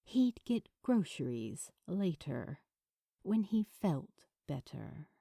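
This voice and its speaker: A woman reading a story aloud as an expressive audiobook narrator, with dramatic, weighted pauses. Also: low-pitched, gravelly, no echo, clean studio sound. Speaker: fan fiction narrator.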